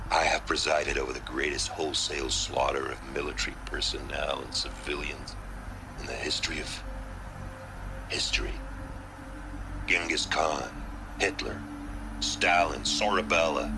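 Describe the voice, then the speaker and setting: An actor playing a solemn, middle-aged American army general, resolute and burdened by guilt, speaking in a measured, formal way for a video game. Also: male voice, a bass voice, fairly even intonation, poor audio. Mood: remorseful, weary. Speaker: military general. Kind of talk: cinematic video game acting. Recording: music under the voice. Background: background music.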